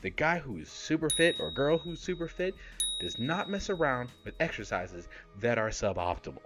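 A man in his thirties gives blunt, playful fitness advice straight to camera, his pitch rising and falling with lots of energy. He speaks close to a podcast mic in a small home room, and music plays behind him. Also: normal speed. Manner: animated, blunt and playful, with casual swearing. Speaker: fitness YouTuber host. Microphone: close podcast microphone, seen.

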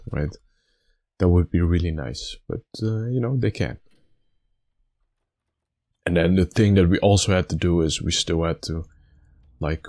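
A man with a slightly raspy, stuffed-up voice giving casual gameplay commentary, thinking aloud in a halting way. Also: sits low, darkish tone. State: stuffy nose after being sick. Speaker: gaming video host.

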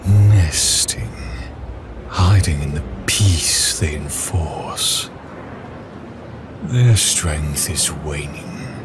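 A sinister alien warrior of darkness, broods in a deep voice at a very slow pace, with loud music behind. The figure is hateful, patient and full of contempt, like a sci-fi villain. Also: male voice, heavily acted. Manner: brooding, menacing, disdainful.

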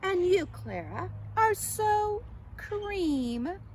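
A woman's voice: a little high, wildly swooping pitch, normal speed, fairly bright tone, a little hiss or hum. A woman voicing a scornful, conceited female caterpillar in a storybook, sneering and complaining. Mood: haughty. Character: scornful, conceited young caterpillar who whines and mocks.

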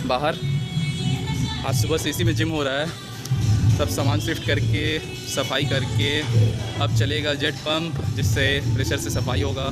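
A man's voice: a little high, little pitch movement, a little slow, over loud music. A young man filming a casual vlog on a handheld phone in a gym, joking and playful. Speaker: vlogger. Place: indoor gym being washed. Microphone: handheld phone camera.

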